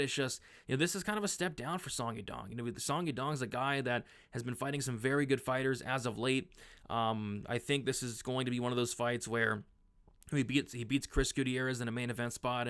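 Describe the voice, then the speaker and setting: A young man with a hoarse edge running through his fight picks in a loose, rambling way, full of "um" and restarts. Under the roughness his tone stays smooth and resonant, at a middling pitch. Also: a bit bright. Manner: rambling, filler-heavy, frequent restarts. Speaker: sports betting analyst. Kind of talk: casual betting breakdown.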